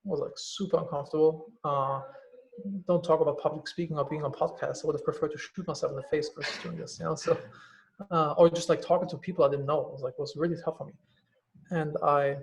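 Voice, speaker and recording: A man's voice: fairly high pitch, fairly even intonation, quick pace, creaky, low-quality recording. A man with a German accent, a company CEO speaking as a guest in a casual podcast conversation, candid and full of fillers, swearing now and then.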